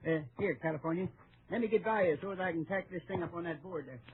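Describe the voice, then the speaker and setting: An actor playing a stubborn, chatty Old West postmaster, husky, with an American accent and folksy banter, in radio Western style. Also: male voice, high voice, fast talker, a little hiss or hum, natural voice. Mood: folksy, unworried. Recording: tinny vintage radio recording.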